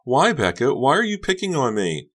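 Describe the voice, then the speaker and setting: A man's voice: on the low side, sing-song, normal speed, fairly bright tone, husky, voice pushed up, heavily acted. A man voicing a tearful teenage girl who pleads in a whiny, innocent-sounding way. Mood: hurt. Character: wounded, tearful teenage girl rival Honey.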